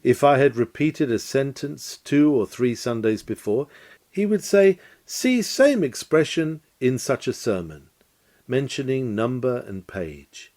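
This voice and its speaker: A man with a soft, mellow voice reads an old-fashioned sermon lecture from a script. His pitch moves widely as he stresses key words, and his phrasing is measured, formal and oratorical. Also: big pitch swings. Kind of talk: scripted reading of a 19th-century sermon lecture.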